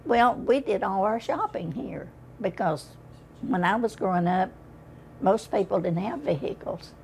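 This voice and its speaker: A woman's voice: medium pitch, expressive, measured pace, vocal fry, slight background noise. A woman, reminiscing in an interview with short, plain answers. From Holland, Arkansas. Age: 80s. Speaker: interviewee, local elder.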